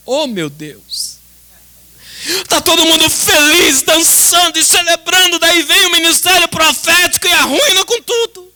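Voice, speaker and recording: A man's voice: very high voice, animated, measured pace, bright, forceful tone. A Brazilian man, a pastor, preaching an impassioned church sermon in an emphatic, dramatic manner with much repetition. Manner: emphatic, dramatic, with rhetorical repetition and direct address to the congregation. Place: church service.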